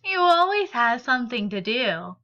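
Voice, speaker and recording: A woman voicing a caring, gently teasing mother in a children's storybook. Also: on the high side, sing-song, moderate pace, muffled recording, exaggerated voice. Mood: fond, teasing.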